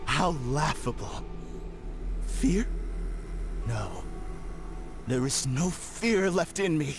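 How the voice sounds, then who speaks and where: A bitterly defiant, tormented man in a video game. He speaks very slowly and haltingly, his high voice swinging with drama. Music plays clearly behind him. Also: sing-song, full character voice. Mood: scornful. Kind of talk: scripted video game acting.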